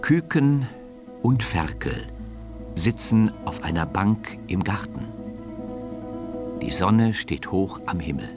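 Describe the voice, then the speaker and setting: A man narrating a scripted children's story in a measured way, now and then dropping to a hush. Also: low voice, big pitch swings, loud music behind the voice.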